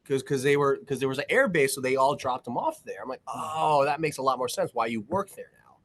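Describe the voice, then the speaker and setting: A man co-hosting an interview over a video call, excitable and joking, talking in quick bursts. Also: medium pitch. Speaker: podcast co-host.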